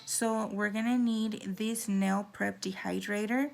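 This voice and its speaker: A woman with a hoarse, raspy voice giving a casual at-home nail how-to, chatty with lots of fillers. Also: medium pitch, fairly even intonation, measured pace, darkish tone. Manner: chatty, filler-heavy, drops into whispers. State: hoarse from talking. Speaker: nail tutorial vlogger.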